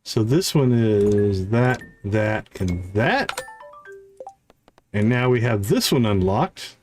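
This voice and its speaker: A man's voice: lowish voice, expressive, takes it slowly, darkish tone, soft background music. A man giving casual live gameplay commentary, thinking aloud as he counts off items and talks through his tasks. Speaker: live streamer, gaming host.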